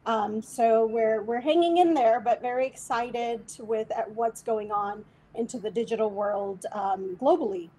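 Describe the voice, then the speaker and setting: A woman from Bangladesh, a tech executive, answering interview questions remotely in a measured way with frequent "um"s. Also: fairly high pitch, dark tone, low-quality recording.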